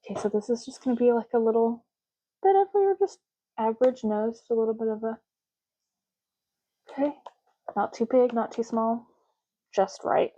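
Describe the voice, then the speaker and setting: Soft spoken asmr lady, mellow voice, chatty clerk roleplay, kinda dull lo-fi sound. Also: on the high side, normal speed, long pauses. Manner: casual, chatty, explanatory. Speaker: ASMR creator playing a clerk making ID cards.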